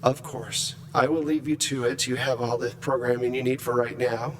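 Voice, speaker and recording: A man voicing a calm, formal, quietly menacing ship computer program in a tabletop game. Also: mid-range voice, a crowd in the background, natural voice. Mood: coolly controlled. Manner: measured, formal, polite.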